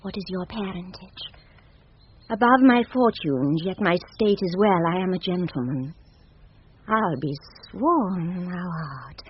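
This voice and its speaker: An actress playing a proud, impulsive young countess in love, in formal, theatrical Shakespearean radio style. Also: female voice, fairly low pitch, expressive. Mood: smitten, musing. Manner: theatrical, deliberate, formal verse delivery. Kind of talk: classic Shakespearean radio acting. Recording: muffled 1970s radio recording, noisy.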